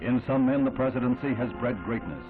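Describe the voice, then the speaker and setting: A man's voice: medium pitch, expressive, brisk. An American man reads scripted 1960s newsreel narration in a solemn, dramatic, declamatory manner. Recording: tinny band-limited vintage newsreel, music behind.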